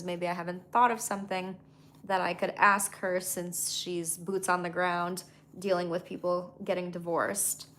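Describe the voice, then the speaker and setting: A woman from Ukraine, a native Russian speaker, casually chatting on her livestream as a comedian and podcast host. She reads viewer comments aloud and thinks out loud with easy pauses. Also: medium pitch, creaky, a little hiss or hum. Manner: easygoing, thinks aloud with frequent ums, reads chat comments aloud. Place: at home, brushing her dog.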